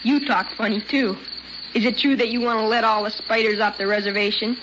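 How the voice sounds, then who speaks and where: A brash, curious young boy played by a woman talks bluntly and defiantly in a high voice. The old radio drama recording is muffled and noisy. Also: fairly even intonation, fairly quick, lightly acted. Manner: plain, blunt childish talk.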